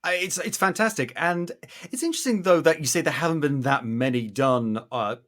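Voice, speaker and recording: A man's voice: on the high side, animated, brisk, a bit bright. A man from the UK, hosting an interview in a casual, effusive way and swearing freely. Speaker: podcast host. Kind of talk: casual, enthusiastic interview chat.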